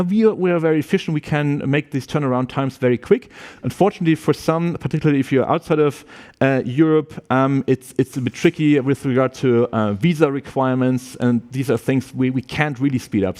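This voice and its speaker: A man's voice: mid-range voice, fairly quick, darkish tone. A man speaking informally to prospective students at a university open day, loose and chatty, with many restarts and "yeah?" tags.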